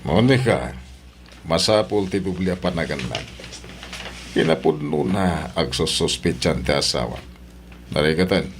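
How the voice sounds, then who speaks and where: An actor playing a scheming, bullying man in an Ilocano radio drama, moving between smooth murmurs and harsh outbursts. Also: extremely low pitch, lively intonation, measured pace, pauses often, a little hiss or hum. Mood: smooth, hushed. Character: scheming man with a lover, violent and bullying when after money.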